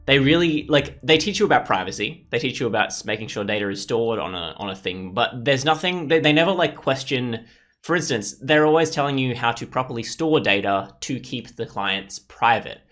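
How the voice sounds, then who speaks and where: Young male gaming host, mid-pitched voice, loose rambling commentary, casual swearing. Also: lively intonation, fairly quick, fairly bright tone, faint music behind. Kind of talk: casual let's play commentary.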